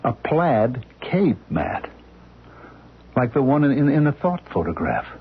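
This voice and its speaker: An actor playing an anxious husband who stammers and repeats himself, in a radio drama. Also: male voice, mid-range voice, very animated, unhurried, slightly rough, heavily acted. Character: anxious middle-aged man, husband, frightened and pleading. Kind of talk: 1970s radio drama acting. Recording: muffled lo-fi old radio recording.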